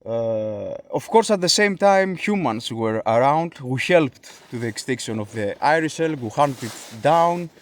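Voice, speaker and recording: A Greek man speaking English as a second language, explaining a philosophy idea in a casual monologue, halting with frequent fillers and restarts. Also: medium pitch, expressive, no room sound. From Greece.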